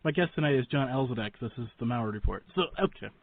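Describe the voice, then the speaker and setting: A man's voice: medium pitch, quick pace, no room sound, narrow, tinny audio. A man hosting a talk radio interview in a casual, informal, joking way.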